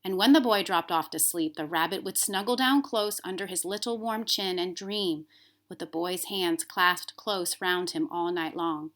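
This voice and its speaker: A woman reading a children's story aloud as a teacher, expressive, with emphasis on key words. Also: on the low side, moderate pace.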